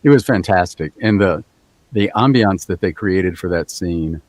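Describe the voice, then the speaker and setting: A man's voice: deep voice, normal speed, darkish tone. A man, a voice actor guest, chiming in with enthusiastic reactions in casual chat. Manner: enthusiastic interjections.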